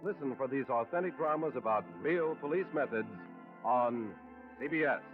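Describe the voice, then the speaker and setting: A male network announcer of 1950s radio with a medium-pitched voice, reading promotional copy. Music plays clearly behind him, and the sound is dull and lo-fi. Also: normal speed. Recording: dull lo-fi old radio broadcast.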